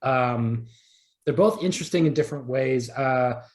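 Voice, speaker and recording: A man's voice: mid-range voice, normal speed, a little mellow. Guy on a podcast talking casually, kinda rambly, into it, repeats himself as he goes. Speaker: podcast guest, audio drama writer. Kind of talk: casual interview chat.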